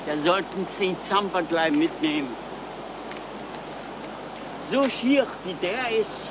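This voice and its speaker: A man's voice: high voice, animated, takes it slowly. An actor playing a sceptical, blunt, teasing male passer-by haggling over a dog, in a 1970s German radio crime comedy. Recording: 1977 radio play, street traffic noise.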